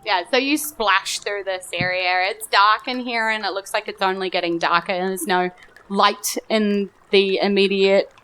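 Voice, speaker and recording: A woman's voice: medium pitch, fairly even intonation, normal speed, bright, forceful tone. A New Zealand woman running a tabletop game as game master, narrating off the cuff in a casual, informal way at a live show. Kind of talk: improvised tabletop game narration before an audience. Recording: live stage show with music underneath.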